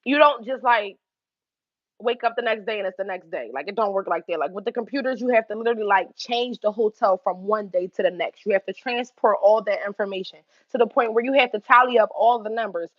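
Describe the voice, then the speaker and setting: A young woman with a Philadelphia accent telling a story on her livestream, animated and swearing freely between laughs. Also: medium pitch, brisk, no echo, highs cut off. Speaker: YouTube livestream host. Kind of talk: casual livestream storytelling to chat.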